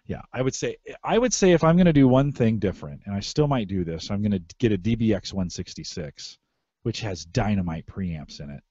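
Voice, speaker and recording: A man's voice: fairly low pitch, lively intonation, fairly quick, dark tone, slightly rough, no echo, muffled recording. A middle-aged American man hosting a casual tech podcast, repeating phrases for emphasis. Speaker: podcast host. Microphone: close podcast microphone through mixer.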